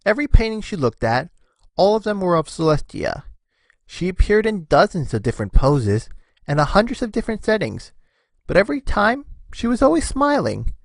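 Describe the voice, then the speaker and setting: A man's voice: high-pitched, very animated, moderate pace, vocal fry, no room sound. A man narrating a scripted story expressively, as the narrator of a fan fiction reading.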